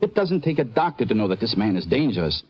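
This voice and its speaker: Defensive immigrant doctor for vintage radio drama: formal, precise, indignant, rough-edged voice, dry close sound. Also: male voice, lowish voice, sing-song, fast talker, darkish tone, no room sound. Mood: impassioned.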